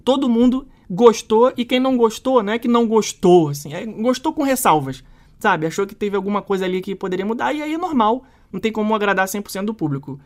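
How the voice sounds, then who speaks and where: A woman co-hosting a casual film review chat, talking in an animated, slangy and emphatic way. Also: medium pitch, fast. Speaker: podcast co-host.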